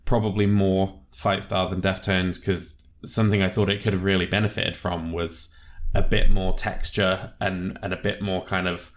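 Deep-voiced man chatting about music. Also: flat delivery, moderate pace. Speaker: podcast co-host. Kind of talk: casual music review chat.

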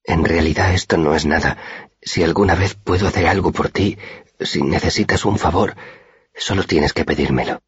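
A man voicing a kind, generous young woman with a gentle, sincere manner in audiobook dialogue, muffled in sound. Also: deep voice, moderate pace, slightly rough. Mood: kind, concerned.

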